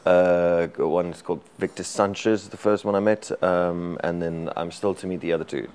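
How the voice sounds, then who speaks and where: A South African man with an Afrikaans accent, a native Afrikaans speaker, talking casually as an interview guest in an energetic, rambling way with many restarts. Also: low-pitched, moderate pace, clear, resonant tone. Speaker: actor and director guest.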